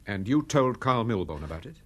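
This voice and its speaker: A man playing a gentleman detective in a radio drama, courteous, calm and probing. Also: fairly low pitch, fairly quick. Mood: calm, concerned.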